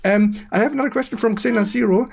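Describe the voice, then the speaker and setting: Woman, German accent, native German speaker, livestream host, creaky tone, halting English, giggly, casual interview chat. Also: fairly high pitch, darkish tone. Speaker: stream host.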